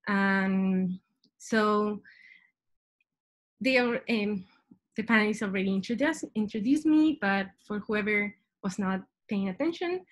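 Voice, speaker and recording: A woman's voice: mid-range voice, a little slow. A young woman from Chile with a Chilean Spanish accent, giving an informal science presentation over a video call as a PhD student. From Santiago, Chile. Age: young adult. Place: small indoor room at home. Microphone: webcam video call.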